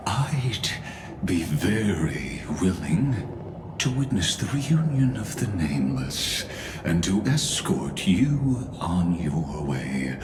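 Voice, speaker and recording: A man voicing a cold, courteous villain in a video game, speaking in ornate, formal and deliberate phrases. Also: low-pitched, noisy background, full character voice. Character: cold, courteous, grandiose male villain, former enemy turned uneasy ally. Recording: echoey, with music.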